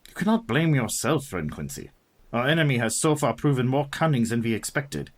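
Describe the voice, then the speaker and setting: Male actor as grave professor, radio drama, raspy edge, lively intonation, courtly, earnest. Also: mid-range voice, fairly quick. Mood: explaining. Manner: formal, earnest, courtly phrasing. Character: devout male professor, vampire hunter, grave and kindly. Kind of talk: radio play dramatic acting.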